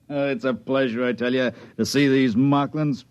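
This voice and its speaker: A man's voice: mid-range voice, fairly even intonation, unhurried, smooth, lightly acted. A man playing a blustery, folksy cargo ship captain in a 1950s science fiction radio drama. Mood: jovial. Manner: blustering, colloquial. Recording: dull vintage 1950s radio recording.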